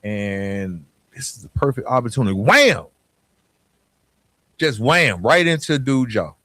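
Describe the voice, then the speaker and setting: A man speaks as a podcast host with a medium-pitched voice and a very dry, echo-free sound. His delivery is colloquial and streetwise, moving between motivational points and personal storytelling. He uses slang freely and often checks in with the listener. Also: sing-song, measured pace, bright, forceful tone.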